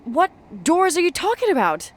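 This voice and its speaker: A woman's voice: very high voice, big pitch swings, normal speed. An actress playing an anxious young woman in a naturalistic video game drama, speaking expressively. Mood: impatient.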